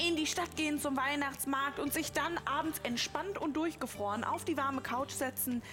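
A woman in her thirties hosting a shopping show, chatting enthusiastically as she introduces products. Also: sits high. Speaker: shopping channel host. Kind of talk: presenting, chatty sales introduction. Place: TV studio.

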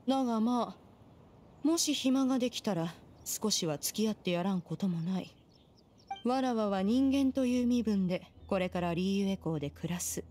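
A woman's voice: fairly high pitch, mellow, natural voice. A woman playing a proud, aloof immortal master in an anime game, speaking in imperious, formal, archaic language. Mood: stern, aloof. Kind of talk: Japanese anime game voice acting.

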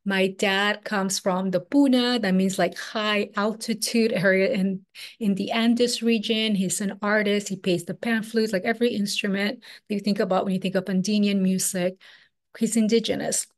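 A woman's voice: medium pitch, fairly even intonation, normal speed. Woman teaching online. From Peru. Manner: casual, flowing, filler-heavy with frequent "like" and "um". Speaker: spiritual teacher. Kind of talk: online workshop presentation. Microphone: webcam on video call.

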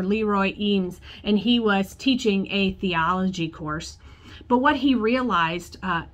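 A woman in her forties, a pastor, preaching a sermon to camera from her office, telling a story in a warm conversational way. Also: mid-range voice. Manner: storytelling, acts out quoted voices, gestures with hands. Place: church office with bookshelves, indoors.

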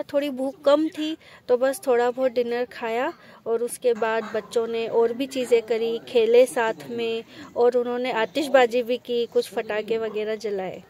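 A woman's voice: fairly high pitch, dark tone. A woman from India narrating a casual travel vlog in a chatty, easygoing way while filming a village walk. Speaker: vlogger. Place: rural village outdoors, later in a car at night.

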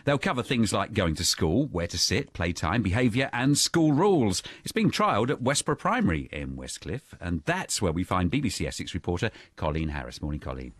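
A man's voice: sits low, very animated, a little fast, few pauses, no room sound. A man presenting breakfast radio, reading a friendly, polished news link in a studio. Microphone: studio mic.

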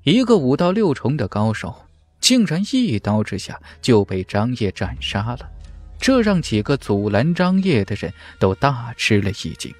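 Man narrating a fantasy audiobook with lively pitch. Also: moderate pace, faint music behind. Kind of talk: dramatic scripted narration of a fantasy novel.

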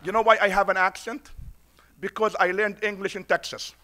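High bright-voiced man at a podium. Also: level tone, normal speed. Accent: foreign accent. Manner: preacher-like, repeats lines for emphasis. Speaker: imam speaking at a conference. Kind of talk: conference speech. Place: conference room at a podium. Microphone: podium mic.

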